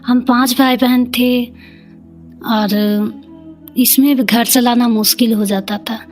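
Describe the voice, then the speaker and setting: A woman with an eastern Indian regional Hindi accent shares a personal story. Her voice sits fairly high and moves very slowly, in halting, plain, colloquial phrases. Soft music plays behind her. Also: steady tone. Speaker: community leader, podcast guest.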